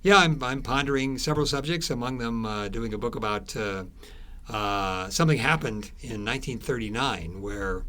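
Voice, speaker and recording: A man speaking as an interviewed author, telling stories conversationally in a halting way, repeating words and trailing into asides. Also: medium pitch, pushed and bright.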